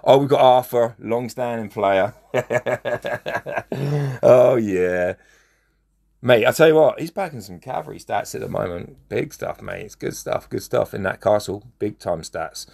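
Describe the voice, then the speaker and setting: A man with a hoarse, fading voice, chatting casually as he comments on a mobile strategy game and gives friendly shout-outs. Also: mid-range voice, measured pace. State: losing his voice, hoarse. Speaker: gaming channel host.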